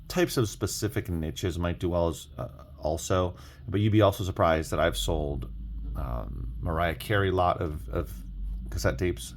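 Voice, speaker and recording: A man talking casually as an online reseller sharing tips, rambling a little and often rephrasing himself. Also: low-pitched. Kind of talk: casual reselling vlog talk. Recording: clearly audible background noise.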